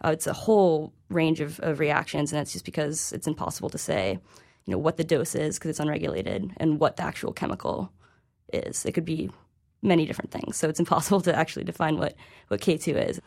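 A woman's voice: low voice, animated, brisk, creaky, darkish tone. A woman reporter speaking as an interview guest, explaining informally in a hesitant, conversational way.

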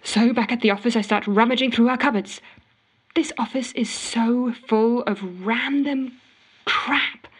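A woman's voice: on the high side, unhurried, breathy edge. An actress playing an exasperated, frantic office worker, telling her woes melodramatically in an audio drama voicemail monologue. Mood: frustrated. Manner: melodramatic, indignant storytelling with sarcastic quoting. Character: exasperated, frantic office woman ranting to a colleague.

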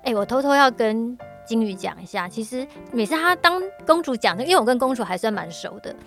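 Playful high-voiced woman podcast host, Taiwanese Mandarin. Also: expressive, a little fast, a bit bright, soft background music. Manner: playful, teasing. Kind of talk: casual interview chat.

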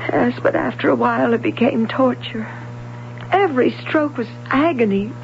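A woman's voice: fairly high pitch, normal speed, gravelly, faint music behind. An actress playing a reserved, insecure young woman, courteous but guarded in a radio drama. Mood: pained, confiding. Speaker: actor. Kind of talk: American radio drama acting. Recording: muffled, hissy vintage radio broadcast.

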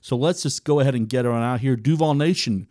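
A man's voice: mid-range voice, quick pace, darkish tone, dry recording, clean studio sound. A man hosting a podcast, giving emphatic, enthusiastic introductions and asking interview questions. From Wales. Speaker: podcast host.

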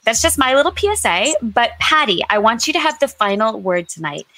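A woman co-hosting an online book show in a lively, chatty, effusive way. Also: on the high side, fairly quick, no echo, rough recording. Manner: effusive, gushing.

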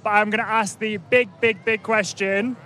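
A man's voice: high-pitched, steady tone, moderate pace, sharp tone, slight background noise. A man hosting a podcast, interviewing people outdoors at a festival with enthusiastic energy. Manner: enthusiastic, repeats "big, big question". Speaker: podcast host. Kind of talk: upbeat vox-pop interviewing.

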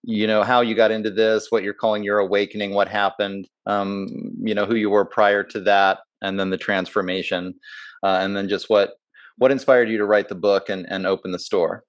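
A man with a lowish voice hosting an interview podcast. He strings his questions together loosely, one after another, and his voice is recorded dry and close, with no room sound. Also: little pitch movement, normal speed, no room sound. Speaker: podcast host.

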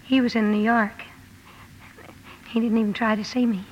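A woman's voice: on the high side, little pitch movement, muffled recording, natural voice. An actress playing a spirited, tender young woman writer in a 1950s radio drama, with a heartfelt, earnest delivery. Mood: downcast. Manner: heartfelt, earnest, halting when moved. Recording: slightly noisy old radio broadcast.

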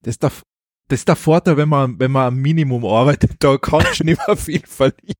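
A man's voice: medium pitch, brisk, no room sound, studio quality. A man with an Austrian accent co-hosting a podcast in casual, opinionated chat, often repeating words as he starts a thought. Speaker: podcast co-host.

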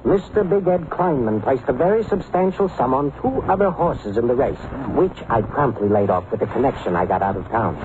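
An actor playing a cynical, wisecracking racetrack bookmaker in an old radio drama, with dry delivery and a thin vintage sound. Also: male voice, medium pitch, animated, a little fast, few pauses, close to an everyday voice. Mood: wry. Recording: narrowband old radio recording, faint hiss.

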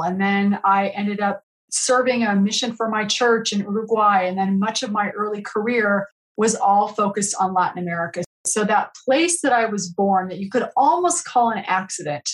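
A woman's voice: medium pitch, moderate pace, rough recording. A middle-aged woman from California, a podcast guest speaking in an earnest, reflective way about her own life. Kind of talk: podcast interview, reflective storytelling.

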